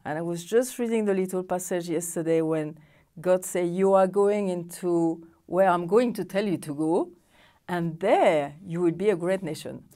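A woman's voice: lowish voice, normal speed, dark tone. A middle-aged woman whose first language is French, hosting a TV studio interview in English with eager, non-native phrasing. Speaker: interview host. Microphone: lapel mic.

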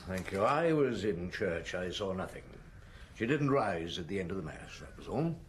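An actor in a radio drama playing a brooding police chief inspector, deliberate and questioning, thinking aloud. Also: male voice, low voice, big pitch swings. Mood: measured. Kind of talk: BBC radio drama acting. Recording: noisy old radio broadcast.

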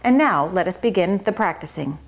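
A woman reading aloud and voicing a fussy, excitable male mouse who bosses his friends about. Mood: fussy, insistent. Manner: bossy, quick to fluster, squeaky. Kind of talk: children's book read-aloud.

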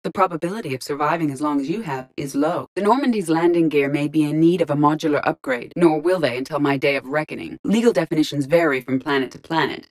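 A woman playing a calm, courteous artificial intelligence in a video game, speaking with precise, formal, measured diction and a deadpan manner. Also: low-pitched. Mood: composed, matter-of-fact. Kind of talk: scripted video game dialogue.

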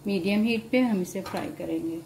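A woman with an Urdu-influenced Hindustani accent calmly explains a home cooking recipe step by step to viewers. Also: medium pitch, fairly quick, vocal fry, a little hiss or hum. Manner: calm, explanatory, addresses viewers directly. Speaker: home cooking channel host. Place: home kitchen at the stove.

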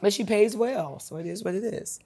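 A raspy-voiced woman in her forties, a talk-show guest, talking bluntly and animatedly in a studio interview. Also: mid-range voice, normal speed. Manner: animated, blunt gossip with bursts of laughter. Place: bright studio set with city view.

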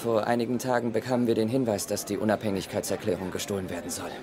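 Film dub: actor as factual male agent, lowish voice, reporting plainly over crowd murmur. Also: level tone, fast talker, natural voice. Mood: matter-of-fact. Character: matter-of-fact male agent reporting to his boss. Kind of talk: German film dub acting.

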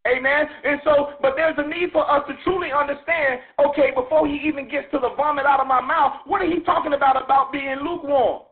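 Male preacher with a very high voice, teaching over a phone line. Peppers every phrase with "amen" and stumbles into repeats. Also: steady tone, a little fast, few pauses. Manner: punctuates nearly every phrase with "amen", repeats words while searching for the thought; grows more emphatic and insistent as he goes. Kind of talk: sermon teaching. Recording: narrow phone-line sound.

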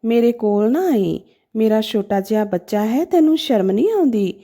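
A frightened, deranged mother in a moral story, acted out by a woman narrator. She is agitated and scolding, in a high voice with a mellow tone and wide swings of pitch. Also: animated, normal speed. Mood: frightened, angry. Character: deranged, frightened mother fiercely warding off an attacker.

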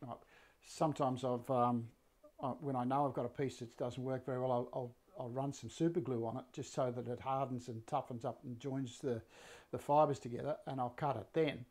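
A man in his sixties with a slightly croaky voice, casually explaining a woodworking build to a live audience from his workshop, full of fillers and restarts. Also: medium pitch, moderate pace. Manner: relaxed, rambling, many fillers and restarts. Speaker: woodworking teacher and live-stream host. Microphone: fixed camera, mid-distance framing.

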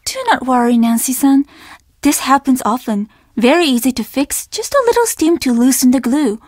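A young woman who runs a Japanese inn in a video game. Her voice sits high and is recorded very dry. She is earnest and formally polite, apologetic, and carries her troubles with her. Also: expressive, moderate pace, a little mellow, dry recording. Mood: reassuring, firm. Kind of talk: video game character dialogue.

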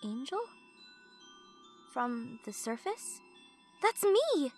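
A woman voicing a timid, excitable human child in a comic dub. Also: sits high, very slow, full character voice. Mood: hesitant. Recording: faint music behind.

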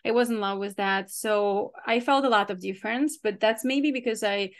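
Ukrainian-accented woman hosting a podcast. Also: medium pitch. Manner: animated, lots of fillers, quick laughs. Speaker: podcast host. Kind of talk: casual video-call podcast chat. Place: home studio room, indoors.

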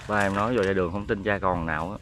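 A man's voice: sits low, fairly even intonation, very fast, heavy background noise. A man filming a friend outdoors, bantering casually in a teasing, easygoing way. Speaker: cameraman friend. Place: outdoors in a field.

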